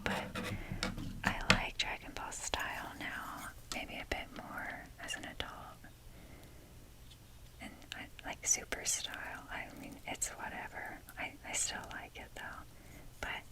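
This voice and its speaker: A young woman speaks in a breathy whisper throughout, as an ASMR host would. Her delivery is casual and rambling, with a self-deprecating streak. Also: rough recording.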